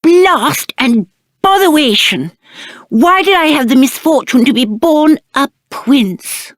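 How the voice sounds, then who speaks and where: High-voiced, pompous spoiled British prince. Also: male voice, animated, slow pace, fairly bright tone, dry recording, clean studio sound, full character voice. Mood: self-pitying, whiny. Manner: pompous, petulant, theatrical. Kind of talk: video game character acting.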